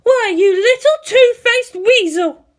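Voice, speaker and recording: A woman voicing an excitable, image-conscious teenage big sister with a shrill, strained manner. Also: slow pace. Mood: screeching, furious.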